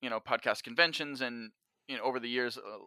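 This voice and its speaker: A man's voice: mid-range voice, fairly quick, sharp tone, smooth, no echo. A man speaking as a podcast guest, giving advice in a casual, conversational way. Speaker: podcast guest, actor and writer-director.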